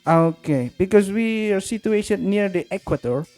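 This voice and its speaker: A man's voice: high voice, animated, normal speed, darkish tone, soft background music. A man with an Indonesian accent, talking as a podcast guest in a casual, explanatory conversational way.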